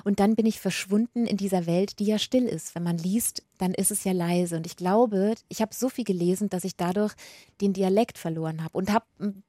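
A woman's voice: medium pitch, normal speed, mellow, dry recording. Dry-sounding woman from Franconia. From Franconia, Bavaria, Germany. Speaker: interview guest. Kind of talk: reflective conversational storytelling.

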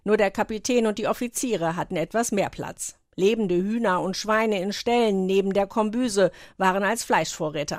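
A woman's voice: mid-range voice, no room sound. Woman reporter narrating, dry close sound. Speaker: radio correspondent. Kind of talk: scripted radio feature narration.

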